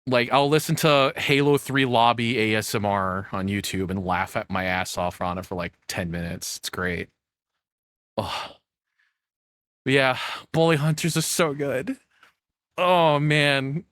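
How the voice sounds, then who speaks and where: A man's voice: mid-range voice, steady tone, unhurried, fairly bright tone, no echo, studio quality. A young adult man doing casual reaction commentary as a content creator, rambling, sarcastic and freely swearing.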